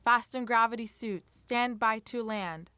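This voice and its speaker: A woman's voice: fairly high pitch, unhurried, pushed and bright, dry recording. A woman playing a dutiful spaceship crew officer in a radio drama, calling out readings in a clipped, procedural way. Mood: brisk, dutiful. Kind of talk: radio science fiction acting.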